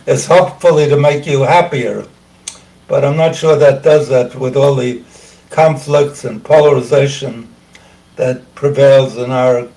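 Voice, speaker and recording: Older male host, slow halting delivery, frequent throat clearing, voice going, a bit far from the mic. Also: medium pitch, a little mellow. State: losing his voice. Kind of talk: casual talk show monologue.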